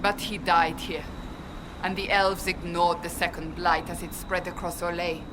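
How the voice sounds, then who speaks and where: An actress playing a cool, scholarly companion in a video game, speaking drily and precisely. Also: female voice, fairly low pitch, little pitch movement, moderate pace, noisy background, lightly acted. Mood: sober.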